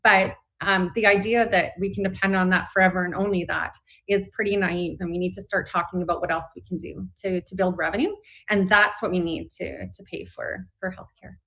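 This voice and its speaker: A woman's voice: fairly low pitch, fairly even intonation, brisk, a bit bright, rough recording. A woman with a Canadian accent speaking as a union representative in an interview, explaining her position earnestly and emphatically. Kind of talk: spoken interview, advocacy.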